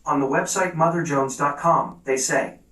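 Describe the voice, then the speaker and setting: A man's synthetic voice-assistant voice reading out an answer in an even, read-out delivery. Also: fairly high pitch, normal speed. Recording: roomy sound played through a speaker.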